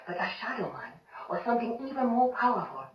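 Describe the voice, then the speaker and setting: A woman's voice: a little high, expressive, quick pace, crowd noise, close to an everyday voice. A woman voicing a wise, stern voodoo priestess with a Jamaican accent, speaking in solemn warnings. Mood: ominous. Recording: echoey, distant game audio.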